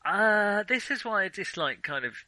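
A man's voice: high voice, very animated, moderate pace, bright, forceful tone, dry recording. A man co-hosting a film podcast, talking casually and digressively with firm opinions.

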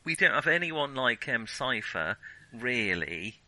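Male podcast co-host, very bright, forward voice, dry close sound, hesitant and self-qualifying in casual film talk. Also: mid-range voice, very animated, takes it slowly, no room sound, poor audio.

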